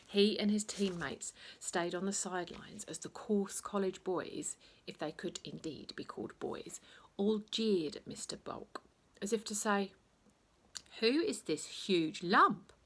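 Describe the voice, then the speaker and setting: A woman narrating a children's bedtime story in an expressive, theatrical way, playing up the sound words. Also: medium pitch, unhurried.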